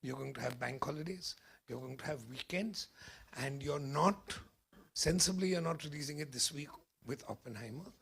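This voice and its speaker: A hoarse Indian man with a gravelly, rough-edged voice gives emphatic, confident answers at a press event. He sits a little high in pitch for a man. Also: moderate pace, a bit bright. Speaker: film critic and distribution executive.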